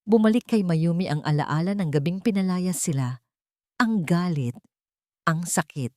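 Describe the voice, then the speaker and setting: A woman's voice: fairly low pitch, animated, normal speed, darkish tone, no echo, clean studio sound. A woman reading a scripted story as a dramatic narrator.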